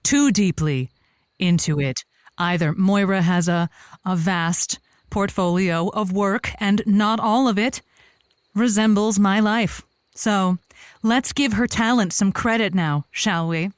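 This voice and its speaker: Female, actress playing flamboyant flirt of a comedic audio-drama host; mid-pitched, very dry close sound, grandly suggestive delivery. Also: slow pace, clear, resonant tone, no room sound, natural voice. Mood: breezy, self-assured. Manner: theatrical, suggestive, self-dramatizing. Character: flamboyant, flirtatious woman advice host spinning tall romantic tales.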